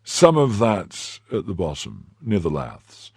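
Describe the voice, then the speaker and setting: A man's voice: fairly low pitch, sing-song, slow pace, creaky, slightly rough, no echo, clean studio sound, exaggerated voice. A man voicing a poor, fearful innkeeper who pleads and fawns in a raised voice. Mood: pleading.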